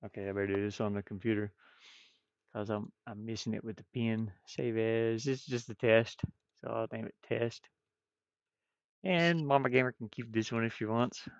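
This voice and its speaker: Rambling man streamer, clear voice, dry sound. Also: lowish voice, steady tone, moderate pace, no echo, muffled recording. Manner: rambling, muttering to self, bursts of loudness. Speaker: streamer drawing digital art. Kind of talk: casual chat while drawing on a livestream.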